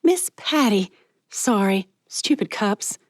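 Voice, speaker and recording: A nervous middle-aged woman bookseller, squeaky and flustered, pitched well above the narration, as a woman narrator puts her on. The sound is dry and close to the mic. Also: steady tone, moderate pace, darkish tone, dry recording, close to an everyday voice.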